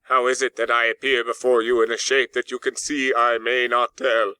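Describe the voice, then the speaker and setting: A man's voice: medium pitch, level tone, moderate pace, bright, forceful tone, clean tone, dry recording, close to an everyday voice. A man voicing a fearful old miser in a storybook, pleading in a faltering manner. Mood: nervous pleading. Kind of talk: dramatic audiobook character acting.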